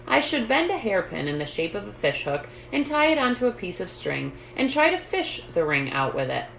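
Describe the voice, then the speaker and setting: A woman's voice: medium pitch, big pitch swings, normal speed, gravelly, a bit bright, echoey room, slight background noise, rough recording. A woman voicing a practical, easily annoyed older brother in a storybook, assertive and emphatic. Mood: advising.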